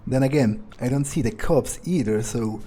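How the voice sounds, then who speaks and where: A man's voice: mid-range voice, normal speed, warm tone, husky, close to an everyday voice. A man voicing a cocky, cruel, foul-mouthed vampire as in-character commentary on a video game, drawling and sardonic as he muses aloud. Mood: musing, contemptuous. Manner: drawling, sneering, musing.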